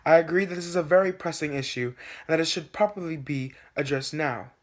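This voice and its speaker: A young man playing an earnest, reasonable presidential candidate, speaking in a measured, formal, scripted way in a student debate video. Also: fairly high pitch, a little hiss or hum, close to an everyday voice.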